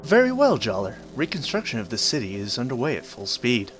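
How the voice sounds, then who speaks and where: A man voicing a dutiful city guard in an animated fan dub, reporting matter-of-factly. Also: medium pitch, fairly quick.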